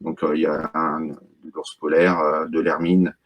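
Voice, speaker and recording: A middle-aged man from Brittany with a very low voice speaks as an interview guest. He talks about his work haltingly, with frequent fillers and restarts. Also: flat delivery, lo-fi sound. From Brittany, France. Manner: hesitant, many fillers and restarts. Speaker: wildlife photographer, guest. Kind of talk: casual interview talk.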